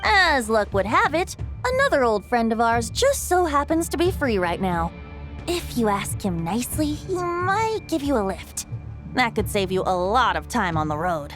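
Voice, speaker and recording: Breezy fantasy game bard: highish, very lively pitch, flowery theatrical wording, music behind. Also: female voice, moderate pace. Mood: breezy, coy. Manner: flowery, gently theatrical phrasing. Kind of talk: video game voice acting.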